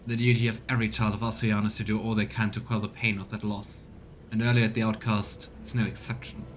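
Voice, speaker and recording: Man voicing a solemn, scornful low-voiced alien elf seer. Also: little pitch movement, fairly quick, slight background noise, close to an everyday voice. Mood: contemptuous. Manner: solemn, archaic, haughty. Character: scornful, grieving male alien elf farseer. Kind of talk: voiced reading of game dialogue. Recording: music behind the voice.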